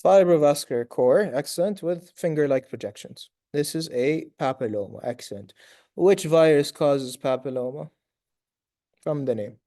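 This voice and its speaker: Male medical lecturer, raspy and dark-toned, dry close sound, brisk quizzing manner. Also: fairly high pitch, no echo. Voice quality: raspy from long talking. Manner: quizzes students, praises answers briskly; sprinkles Arabic fillers like tamam, inshallah. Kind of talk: lecture to students.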